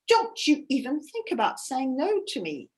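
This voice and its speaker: A woman's voice: high-pitched, huge pitch swings, normal speed. A woman storyteller voicing a proud, determined young goddess who speaks in an imperious, urgent way. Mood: commanding.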